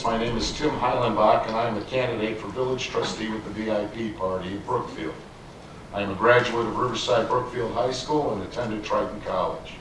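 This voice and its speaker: A man running for village trustee, giving a measured, businesslike prepared statement at a public forum. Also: fairly low pitch. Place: community meeting room with an audience. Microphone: handheld microphone. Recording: very echoey distant room sound, faint room noise.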